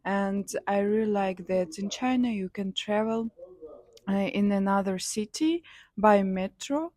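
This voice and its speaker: Young kazakh woman, slow and unsure, lots of uhs, dry close sound. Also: medium pitch, a little mellow, dry recording. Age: young adult. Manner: hesitant, many fillers, simple non-native English. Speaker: interviewee, student. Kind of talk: street interview answers.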